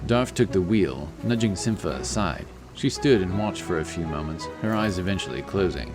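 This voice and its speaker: A man's voice: deep voice, expressive, moderate pace. A man reading scripted fantasy narration as a storyteller, with music behind his voice.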